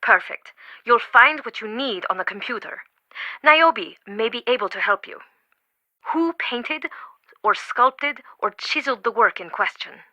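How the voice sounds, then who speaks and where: A devoted, easily affronted woman who runs a museum in a video game. Her voice is strikingly bright and crisp-edged, set fairly high, and recorded dry and close. She phrases deliberately and emphatically, with earnest dramatic weight. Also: lively intonation, no echo, poor audio. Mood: explanatory.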